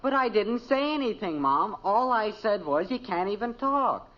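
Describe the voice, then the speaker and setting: An American actor playing a cheeky, evasive teenage son in an old-time radio family comedy, pleading and protesting. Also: male voice, high voice, sing-song, moderate pace. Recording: noisy, dull vintage radio recording.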